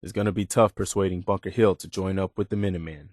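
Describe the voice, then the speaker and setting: An earnest wasteland militia lieutenant with a lowish male voice, recorded close and dry. He speaks plainly and with principle, like a dutiful soldier in a video game. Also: fairly even intonation, quick pace, darkish tone, dry recording. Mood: serious. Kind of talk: video game character dialogue.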